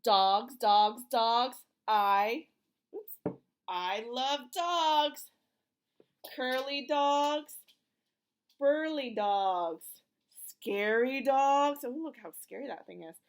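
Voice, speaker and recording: A woman's voice: on the high side, extremely slow, pauses often. A woman reading a picture book aloud to young children in an encouraging, sing-song teacher's manner, asking questions and drawing out short phrases.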